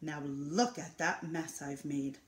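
A woman's voice: mid-range voice, a little slow. Woman doing a little storybook girl. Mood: glum. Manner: expressive, storybook dialogue. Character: young girl who loves coloring. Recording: echoey room.

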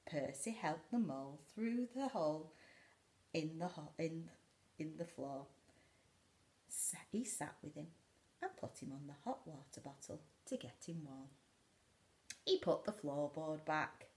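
A woman in her forties reads a picture book aloud to young children in a dramatic way, often dropping to a hush. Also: deep voice, lively intonation, unhurried, pauses often. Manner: dramatic, often drops to a hushed whisper. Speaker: school storyteller. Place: living room indoors by a window.

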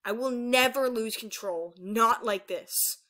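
A woman's voice: fairly high pitch, slow, pushed and bright. Woman acting out an old female dragon villain, cold, gloating, grandiose, taunting, fantasy storybook. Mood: cold, threatening.

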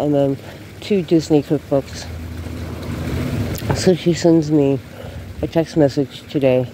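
A woman's voice: deep voice, mellow. Congested woman chatting on a walk. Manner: chatty, laughing, rambling storyteller. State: congested from a cold. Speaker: beauty vlogger. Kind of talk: casual walking vlog chat. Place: outdoors on sidewalks, walking. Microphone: handheld phone camera. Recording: noisy outdoor phone recording.

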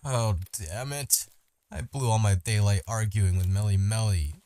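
A man's voice: on the low side, a little slow, dark tone, natural voice. A man playing a hapless, earnest young wanderer and failed bandit in a comic dub, hesitant and slangy. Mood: muttering, conflicted. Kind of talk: manga comic dub acting. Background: music under the dialogue.

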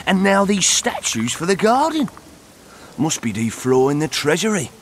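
Grumbling, wildly swooping bandit. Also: male voice, on the high side, big pitch swings, normal speed, slight background noise. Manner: coarse, complaining. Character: grumbling, foul-mouthed male bandit thug. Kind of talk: video game dramatic dialogue.

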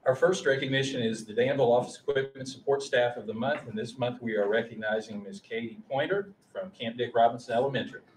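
A man's voice: medium pitch, steady tone, quick pace, few pauses. A middle-aged man speaking as a school official, making formal announcements and reading aloud in a large meeting room. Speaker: school official presenting staff and student awards. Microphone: distant room microphone. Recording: roomy, distant mic, faint noise.

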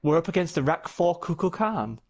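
Young guy gaming with a friend, really lively pitch, slightly high for a man, jokey. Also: brisk. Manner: lively, joking, swears. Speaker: co-player. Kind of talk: casual gaming chat.

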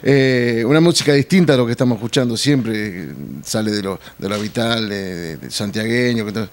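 A middle-aged man inviting people to a show, halting with many fillers. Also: on the low side. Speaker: venue owner. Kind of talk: casual promo chat.

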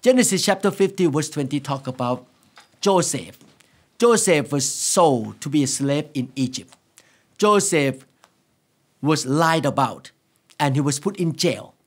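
A man in his sixties from Thailand with a Thai accent, preaching to camera as a pastor in an earnest, emphatic, deliberate way. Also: a little high, lively intonation. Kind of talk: sermon to camera. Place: quiet indoor studio set. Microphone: lapel microphone.

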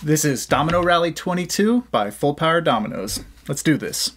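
A man's voice: fairly high pitch, lively intonation, fairly bright tone. A man in his thirties from the United States, hosting a casual reaction video at a desk mic, upbeat and full of praise. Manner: upbeat and enthusiastic, quick to praise. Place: small indoor room at a desk. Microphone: desk condenser mic.

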